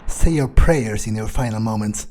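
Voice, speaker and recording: A man's voice: fairly low pitch, normal speed, slight background noise. A man playing a video game fully in character, muttering self-assured, sardonic commentary into a desk microphone. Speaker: let's play roleplayer. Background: loud game music and gunfire.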